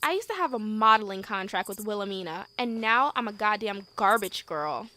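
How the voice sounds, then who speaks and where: A woman's voice: medium pitch, animated, normal speed, bright, forceful tone. A woman playing a brash, chatty, foul-mouthed young woman in an audio drama, blunt, casual and slangy. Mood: breezy, blunt. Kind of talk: amateur audio drama acting.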